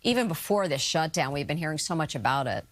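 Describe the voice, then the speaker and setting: A woman's voice: deep voice, animated, fast. An American woman working as a news anchor, putting questions to a guest in broadcast interview style.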